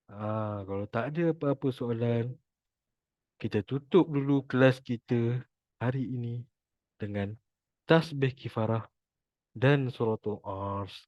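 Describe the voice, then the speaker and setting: A man's voice: mid-range voice, unhurried, long pauses, no room sound, lo-fi sound. A man with a Malaysian accent teaching an informal religious class, chatty and jokey, repeating his points for emphasis. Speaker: Quran recitation teacher.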